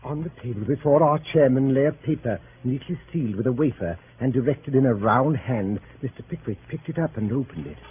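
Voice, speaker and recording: A man's voice: medium pitch, expressive, a little fast, hardly any pauses, natural voice. A man narrating a 1930s radio drama in a formal, storybook manner. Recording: tinny, noisy 1930s radio recording.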